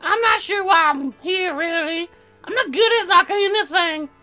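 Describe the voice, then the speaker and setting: A man's voice: extremely high pitch, pushed and bright, soft background music, voice pushed up, heavily acted. A man voicing a ditzy, bubbly young woman colonist in a visual novel game, airheaded and drawing out her words. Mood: ditzy, eager. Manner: airheaded, drawn-out words with many likes.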